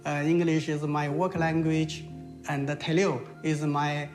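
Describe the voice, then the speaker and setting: Older man with a Mandarin accent, halting. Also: on the high side, flat delivery, soft background music. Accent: Mandarin Chinese. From China. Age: 60s. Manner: halting, with many pauses and fillers. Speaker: interviewee. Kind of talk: reflective interview answers.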